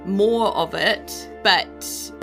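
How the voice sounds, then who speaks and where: A woman's voice: mid-range voice, drawn-out words, a bit bright, soft background music. A woman with a New Zealand accent improvising descriptive narration as game master of a tabletop game, in a conversational way. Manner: conversational, descriptive, frequent "you know" asides.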